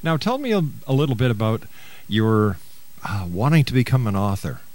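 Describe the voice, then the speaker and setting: A man's voice: medium pitch, sing-song, normal speed, darkish tone. A mature man hosting a radio interview in a conversational, encouraging and avuncular way.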